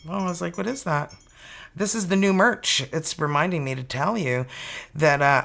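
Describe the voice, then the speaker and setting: A tarot reader in their fifties, talking in a loose, chatty way and breaking into exclamations. Their speaking pitch sits in the middle of the usual range. Card quotes are read out slowly and carefully. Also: a bit bright. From Canada. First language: French. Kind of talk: casual tarot reading to camera.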